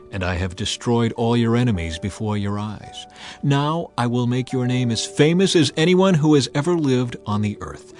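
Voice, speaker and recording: A man plays God in an audio Bible drama, solemn and full of authority. The delivery is measured and declamatory, in a middle register over a music bed. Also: mid-range voice, darkish tone, close to an everyday voice. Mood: solemn assurance. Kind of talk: dramatized scripture reading.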